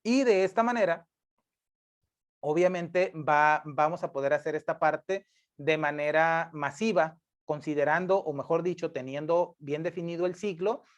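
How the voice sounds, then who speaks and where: A man's voice: a little high, moderate pace. A male instructor, explaining step by step, patiently repeating points and correcting himself with frequent tag questions. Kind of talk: screencast coding lesson. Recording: dull lo-fi mic.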